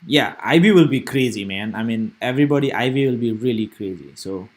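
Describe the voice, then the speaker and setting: A man's voice: mid-range voice, expressive, brisk. A young man from Nepal, giving casual live commentary on stock charts and rambling aloud with many fillers and restarts. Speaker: stock trading streamer.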